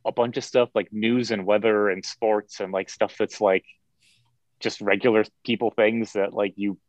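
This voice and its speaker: A man's voice: lowish voice, little pitch movement, normal speed, dry recording, lo-fi sound. A man on a panel asking questions, filling with "like" a lot. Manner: lots of "like", questioning. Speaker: panel guest. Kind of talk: casual panel discussion.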